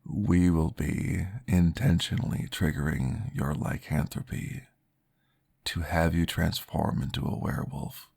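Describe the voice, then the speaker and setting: Deep, gravelly, slow-spoken vampire therapist, formal and reassuring, in an ASMR roleplay, voiced by a man. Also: darkish tone, exaggerated voice.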